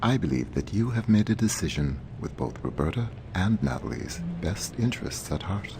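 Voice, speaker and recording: A man voicing a calm, formal shipboard artificial intelligence in a game, precise and reassuring. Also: low voice, moderate pace, vocal fry, background noise, full character voice. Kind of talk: game voice acting.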